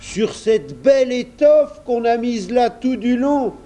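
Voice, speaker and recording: A man's voice: fairly high pitch, sing-song, slow pace, warm tone, pitched above the performer's own voice. A man voicing a hearty, good-natured countryman in his late thirties who talks in folksy exclamations for a storybook. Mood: amazed. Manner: exclamatory rustic talk with folksy turns.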